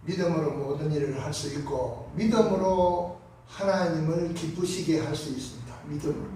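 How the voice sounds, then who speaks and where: Man, Gyeongsang accent, pastor, fiery sermon, high voice, faint hiss behind. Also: moderate pace. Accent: Gyeongsang Korean. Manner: impassioned preaching, swings between hushed and loud. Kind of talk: Sunday sermon. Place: large church sanctuary. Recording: echoey sanctuary from far off.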